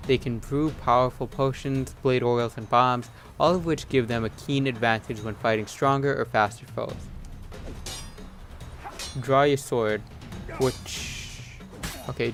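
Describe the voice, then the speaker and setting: A man hosting a gaming video with casual, low-key commentary, at times reading game text aloud. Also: a bit bright. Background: game music.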